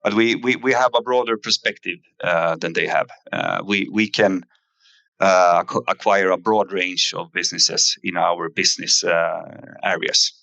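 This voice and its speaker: A man giving a company investor presentation in a halting way, pausing often with fillers. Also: low voice, fairly even intonation, creaky, bright, forceful tone, dry recording. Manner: halting, hesitant delivery with frequent fillers. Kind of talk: investor earnings presentation, semi-scripted.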